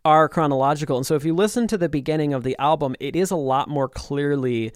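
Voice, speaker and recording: A man's voice: fairly high pitch, fast talker, clear, resonant tone, dry recording, studio quality. A man co-hosting a casual chat about music, enthusiastic and laughing often. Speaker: co-host.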